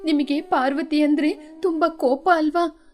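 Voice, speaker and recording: A woman's voice: high voice, steady tone, a little slow, a little mellow. A woman voicing a kind, devoted young wife who talks in an earnest, anxious way. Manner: earnest, stammering when afraid.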